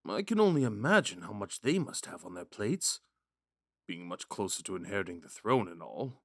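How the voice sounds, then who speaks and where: A man's voice: on the low side, big pitch swings, moderate pace, no room sound, studio quality. Man playing a wistful young prince, swooping intonation. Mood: weary, self-mocking. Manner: musing, hesitant, courteous, with sighs and whispered asides. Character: young prince, third in line, wistful, gentle, smitten romantic. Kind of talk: romantic audio roleplay with inner monologue.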